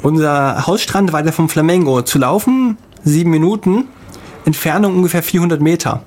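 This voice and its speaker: Husky man telling travel stories. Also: fairly high pitch, a little mellow. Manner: halting travel account with many fillers. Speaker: podcast co-host. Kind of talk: casual chat.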